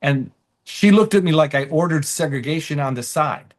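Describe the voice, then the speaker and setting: A man's voice: fairly high pitch, animated, moderate pace. Man in casual, anecdote-filled interview chat. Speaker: comedian guest.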